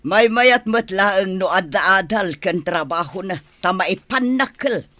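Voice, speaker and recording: A woman's voice: mid-range voice, lively intonation, a little slow, a bit bright, no echo. A woman acting a prickly, choosy middle-aged village mother in a radio drama. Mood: disapproving.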